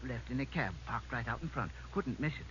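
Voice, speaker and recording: An actor playing a mild, helpful neighborhood druggist in a 1950s radio drama, telling things in a folksy, chatty way. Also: male voice, mid-range voice, lively intonation, a little fast, lightly acted. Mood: helpful, recollecting. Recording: muffled old radio recording, faint hum.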